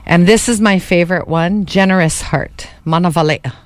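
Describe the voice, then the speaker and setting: A woman from Hawaii with a local lilt, hosting a casual radio word-of-the-day segment and spelling words out slowly. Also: lowish voice, dry recording. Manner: chatty, explains words slowly and spells them out. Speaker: radio host.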